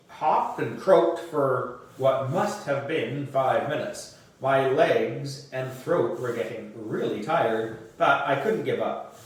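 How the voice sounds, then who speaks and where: A man reading a children's story aloud in an animated way, with dramatic emphasis. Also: mid-range voice. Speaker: audiobook reader for a book club. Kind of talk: expressive children's storybook narration. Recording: roomy echo, distant microphone.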